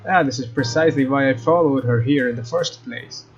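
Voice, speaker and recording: A man's voice: medium pitch, a little fast, muffled recording. A man hosting a let's play, reading game text aloud with flippant, casual asides. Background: faint game music.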